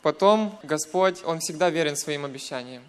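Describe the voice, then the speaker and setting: A young man from western Ukraine with a Ukrainian accent giving a personal testimony in church, in short hesitant segments with pauses. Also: fairly high pitch, smooth. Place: church, speaking to a congregation.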